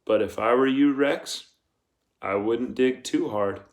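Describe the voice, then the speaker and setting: A man's voice: mid-range voice, takes it slowly. A man voicing a male camp counselor in an audiobook, a smug but deferential underling with a placating manner. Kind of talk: audiobook character voice.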